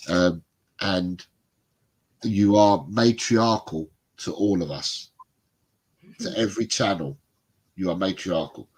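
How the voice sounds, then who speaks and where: A middle-aged man, a little bunged up, reads viewer comments and chats on a fan livestream. His voice sits low and sounds husky. He rambles easily and laughs along. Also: low-quality recording. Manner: chatty, rambling, laughs often. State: congested, bunged up. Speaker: co-host of a football fan livestream. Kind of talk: casual chat reading out viewer comments.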